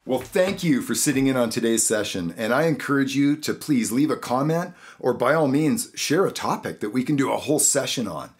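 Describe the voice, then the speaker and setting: A man's voice: medium pitch, lively intonation, brisk, few pauses, breathy edge. A man hosting an informal, encouraging audio production lesson, explaining studio gear in a casual way and repeating phrases for clarity. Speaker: host and audio production instructor.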